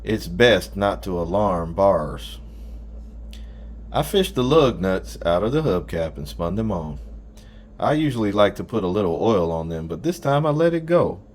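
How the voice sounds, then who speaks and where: A man's voice: low voice, big pitch swings, normal speed. A man reading a first-person story aloud as an audiobook narrator.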